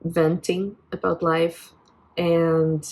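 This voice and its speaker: A woman's voice: lowish voice, flat delivery, extremely slow, a little mellow. A Brazilian woman in her twenties with a Brazilian Portuguese accent, speaking English as a second language. She vents reflectively into her phone, hesitant, with many fillers and restarts. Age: 20s. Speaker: vlogger. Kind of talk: personal venting vlog.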